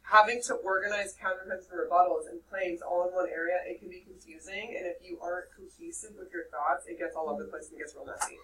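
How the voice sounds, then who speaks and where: A young woman with a nasal voice teaching a high school English class, lively and checking in with her students. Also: fast, hardly any pauses. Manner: lively, checks in with "okay?" often. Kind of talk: classroom lecture. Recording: distant mic with faint room noise.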